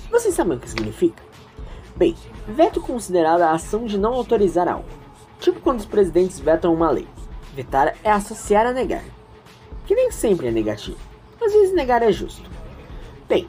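Low, lively woman storyteller. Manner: chatty, reflective, addresses the listeners. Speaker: narrator and host. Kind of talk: informal storytelling narration with asides.

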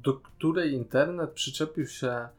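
A man co-hosting a podcast, giving a casual, enthusiastic series review with hesitant pauses. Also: medium pitch, faint music behind. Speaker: podcast co-host.

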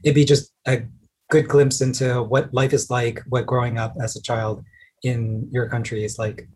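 A man's voice: mid-range voice, little pitch movement, moderate pace, darkish tone, breathy edge. A man hosting a podcast, reading the intro and asking rambling questions with restarts. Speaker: podcast host.

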